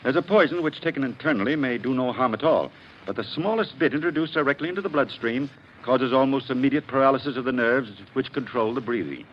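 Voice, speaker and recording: An actor playing a learned, authoritative doctor in a 1940s radio mystery, speaking in a precise, clinical manner. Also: male voice, mid-range voice, quick pace, lightly acted. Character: authoritative, learned male doctor at a crime scene. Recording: muffled, hissy 1940s radio recording.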